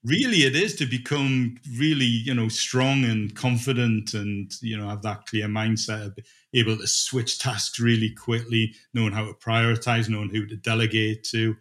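A middle-aged man answers interview questions informally. He speaks haltingly, thinking aloud as he explains. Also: on the low side, steady tone, fairly bright tone. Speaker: dispatch manager being interviewed.

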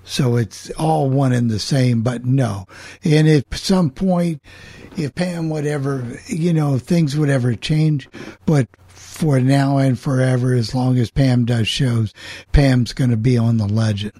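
A man hosting a casual radio panel discussion, talking in a halting, repetitive way. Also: mid-range voice, steady tone, mellow, no room sound. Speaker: host of an online radio coffee club show.

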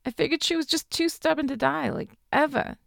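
A woman's voice: mid-range voice, animated, normal speed, vocal fry, dry recording, clean studio sound. A woman voicing a grieving young college student, tearful and bitter, in an audiobook.

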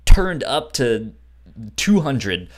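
A man co-hosting a podcast, talking casually and haltingly, often stammering over word starts. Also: mid-range voice, big pitch swings. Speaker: podcast co-host. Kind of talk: casual book discussion chat.

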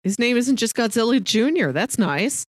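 A woman's voice: a little high, sing-song, moderate pace, no room sound, clean studio sound. A woman chatting casually about films as a podcast co-host, playful and wry. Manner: playful, wry, often chuckling as speaking. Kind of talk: casual film discussion chat.

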